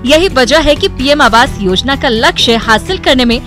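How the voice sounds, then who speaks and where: A woman's voice: sits high, lively intonation, moderate pace. A woman narrating scripted news reports as a broadcast voice-over. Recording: news music behind. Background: background music.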